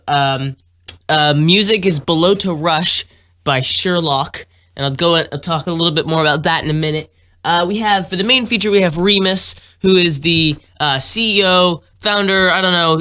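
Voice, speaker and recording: Nasal, sick young male podcast host. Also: fairly high pitch. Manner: excited, rambling, many fillers. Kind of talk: casual podcast talk.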